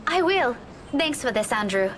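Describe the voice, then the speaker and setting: A woman's voice: fairly high pitch, sing-song, normal speed, fairly bright tone, slight background noise, pitched above the performer's own voice. A woman voicing a polite, earnest young woman in a visual novel, respectful and curious. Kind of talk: visual novel dialogue read aloud. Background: music.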